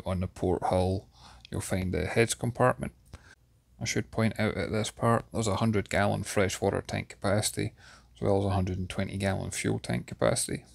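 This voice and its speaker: Scottish male reviewer, 30s, deep gravelly voice, calm guided-tour delivery. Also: no echo. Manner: steady, descriptive, enthusiastic about details. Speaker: yacht reviewer giving a walkthrough tour. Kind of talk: guided video tour narration. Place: inside a yacht cabin.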